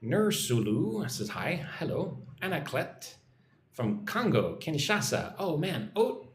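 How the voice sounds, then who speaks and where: A man with an American accent hosting a casual English-teaching livestream, reading out comments and greeting viewers in an informal, chatty way. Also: fairly high pitch. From United States. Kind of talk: casual live chat, reading out and answering comments. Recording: roomy echo, faint background noise.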